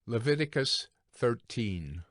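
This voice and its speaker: A man formally announcing and narrating a scripted audio Bible reading in a deliberate manner. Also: mid-range voice, animated, fairly bright tone, no room sound.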